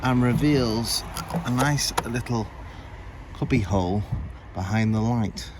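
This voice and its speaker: A man's voice: medium pitch, slow. Man narrating DIY, noisy background. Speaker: vlogger demonstrating car fixes. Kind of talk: casual hands-on DIY narration. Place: around and inside a car, partly at a desk. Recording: clear background noise from car outdoors.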